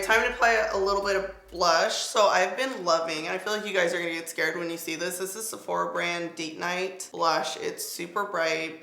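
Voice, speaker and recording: Brief: young woman beauty vlogger, lowish voice, bright tone, slight rasp, chatty and full of fillers, echoey room, faint music underneath. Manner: chatty, lots of "like" fillers, upbeat product asides. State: slightly raspy voice. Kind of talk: casual makeup tutorial chat.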